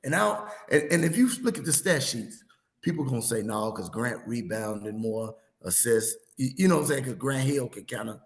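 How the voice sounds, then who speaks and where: A man in his thirties or forties hosting a sports podcast, losing his voice, rambling and animated as he tells stories in casual chat. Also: medium pitch, normal speed, reverberant. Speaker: podcast host.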